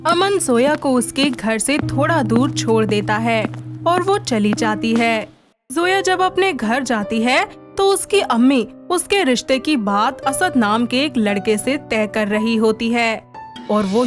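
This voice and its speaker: A woman narrates a scripted story in a high voice. She takes her time with each sentence, and music plays clearly behind her. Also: animated, slow.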